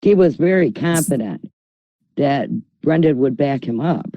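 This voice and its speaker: A man's voice: on the high side, lively intonation, unhurried, no room sound, highs cut off. A man on a podcast panel, chiming in with brief conversational remarks.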